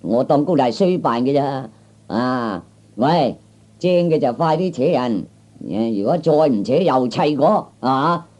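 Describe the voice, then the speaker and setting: A man playing a small, skinny, boastful kung fu braggart in a Cantonese comic radio play, talking haltingly with many interjections. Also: mid-range voice, animated, darkish tone, dull audio. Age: 30s.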